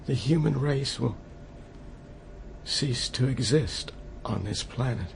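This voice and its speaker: An actor playing a grave, resigned leader addressing officials in a radio play. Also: male voice, mid-range voice, lively intonation, slow, creaky, a little hiss or hum.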